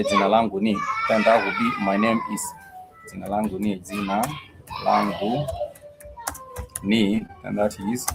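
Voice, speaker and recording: A man's voice: lowish voice, very noisy. A man teaching a live online language class, patiently repeating each phrase several times.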